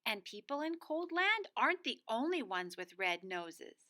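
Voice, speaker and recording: A woman's voice: on the high side, big pitch swings, normal speed, sharp tone. A woman co-reading a storybook aloud as a guest narrator for young children.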